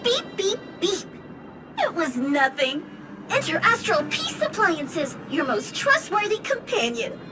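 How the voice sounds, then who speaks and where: Squeaky, chirpy gadget robot. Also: female voice, sing-song, takes it slowly, heavily acted. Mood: chirpy, officious. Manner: recites corporate notices and slogans between spoken beeps. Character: chirpy beeping robotic lost-item finder appliance, officious. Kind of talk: video game voice acting. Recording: game audio under loud music.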